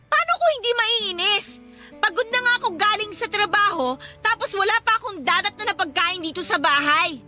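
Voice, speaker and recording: A woman playing an irritable, fed-up, sharp-tongued girlfriend in a Filipino radio drama, with a Filipino accent and a scolding manner. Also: very high voice, animated, moderate pace. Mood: angry, exasperated. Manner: snappish, scolding, exasperated. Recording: music behind the voice.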